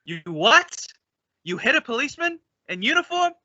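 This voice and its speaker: A man's voice: very high voice, big pitch swings, normal speed, bright, forceful tone, no echo, highs cut off, full character voice. A man playing the bossy straight man of an old-time radio comedy duo, explaining and scolding in exasperation. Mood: alarmed.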